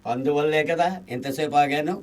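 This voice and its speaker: An actor playing a boastful, foolish, lecherous villain in a radio play, theatrical and insistent. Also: male voice, a little high, fairly even intonation, moderate pace, distant mic, natural voice. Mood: boastful, taunting. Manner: theatrical, self-important, insistent. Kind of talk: radio play acting in Telugu.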